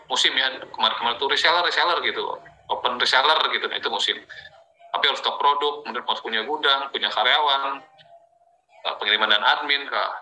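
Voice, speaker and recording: A man's voice: medium pitch, sharp tone, poor audio. A man giving an informal, explanatory sales presentation, talking conversationally and often checking in with his listeners using small tag words. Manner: conversational, repeats filler tags to check in with listeners. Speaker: presenter of an affiliate marketing training.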